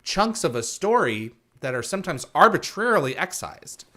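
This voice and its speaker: A man's voice: a little high, very animated, moderate pace, sharp tone. Man hosting an interview, stammering restarts. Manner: attentive, frequent backchannels, stammering restarts. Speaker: podcast interview host. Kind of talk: casual interview chat.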